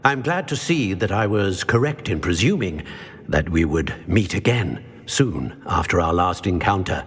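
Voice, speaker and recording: A grave old sage of magic for a fantasy game. He speaks formally in a low, husky register with sweeping intonation. A long cavernous reverb surrounds every word. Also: sing-song, measured pace, heavily acted. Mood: solemn. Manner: stately, formal, cryptic. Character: grave, wise old male keeper of ancient magic. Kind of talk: video game voice acting.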